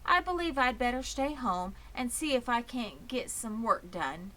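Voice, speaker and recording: Lady doing a storybook spider lady, bright voice, precise n firm, very matter-of-fact. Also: fairly high pitch, expressive, measured pace, voice pushed up. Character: grown female spider, sensible, brisk, self-assured. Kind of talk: storybook character reading.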